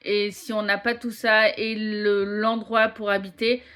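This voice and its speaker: Slow-talking young woman vlogger. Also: mid-range voice, little pitch movement, fairly bright tone, smooth. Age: 20s. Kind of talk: casual vlog chat.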